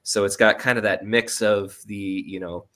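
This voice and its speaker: A man's voice: lowish voice, steady tone, moderate pace, bright, forceful tone. A man talking casually as an interview guest, rambling a bit as he thinks aloud. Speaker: guest writer and sound designer.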